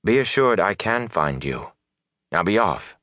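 A man voicing a grave, commanding sorceress who speaks in measured, solemn warnings for an audiobook. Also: fairly low pitch, very animated, a bit bright, no room sound, studio quality. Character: stern, commanding sorceress, grave and urgent woman.

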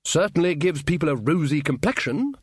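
A man's voice: fairly high pitch, sing-song, a little slow, no echo, clean studio sound, full character voice. A man voicing a hearty, pompous older wizard, jovial and cheerfully tactless in his exclamations.

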